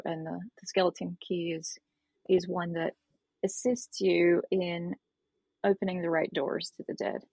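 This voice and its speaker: A hoarse woman with a gravelly, fry-laden growl and a breathy, husky edge, talking through ideas in an explanatory way and doubling back on her phrases. Her voice sits a little low and sounds dry and close, with no room around it. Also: a little mellow, no room sound, rough recording. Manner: explanatory, restarts phrases, laughs lightly. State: hoarse, froggy voice. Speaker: author guest. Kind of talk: casual podcast interview.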